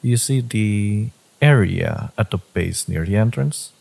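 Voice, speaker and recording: Man playing a low, gravelly brooding villain lover. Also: lively intonation, a little mellow, exaggerated voice. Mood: quiet, reflective. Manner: slow, deliberate, with pauses and sighs. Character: brooding, devoted male fantasy villain, secret lover. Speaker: audio roleplay voice actor. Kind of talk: dramatic second-person romance audio roleplay.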